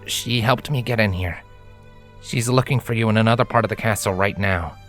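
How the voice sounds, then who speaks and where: A man voicing an earnest, protective young male unicorn pony in a breathy storybook reading. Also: fairly low pitch, moderate pace, soft background music, close to an everyday voice. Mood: gentle. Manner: urgent or hushed, breathy, reassuring.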